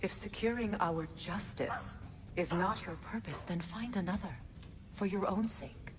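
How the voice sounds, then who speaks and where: An actress playing a proud, resolute warrior goddess in a video game, earnest and deliberate. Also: female voice, mid-range voice, natural voice. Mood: earnest, encouraging. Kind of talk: dramatic video game acting.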